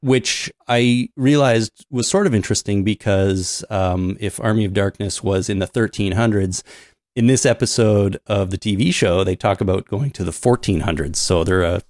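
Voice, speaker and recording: A man from Canada co-hosting a podcast in casual chat, reading news items aloud in a relaxed way with many fillers. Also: on the low side, moderate pace, no room sound, studio quality. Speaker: podcast co-host.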